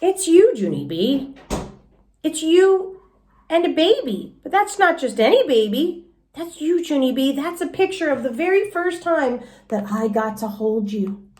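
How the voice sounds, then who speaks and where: A woman voicing a kindly, gentle old grandfather in a children's storybook, in a folksy, affectionate way. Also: high voice, very animated, a little slow, slight background noise, voice pushed up. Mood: tender wonder. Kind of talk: children's storybook read-aloud.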